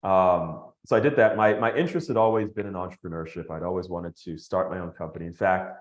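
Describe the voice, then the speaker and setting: A man from Nigeria and the Bahamas, a podcast guest telling his story in an animated way, laughing as he goes. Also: sits low, quick pace, reverberant, lo-fi sound. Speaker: guest, company founder. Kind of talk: podcast interview.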